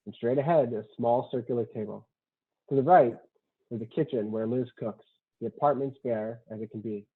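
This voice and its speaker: A man's voice: medium pitch, breathy edge. A man narrating a screenplay's stage directions in a plain, matter-of-fact way. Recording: muffled, dull lo-fi sound.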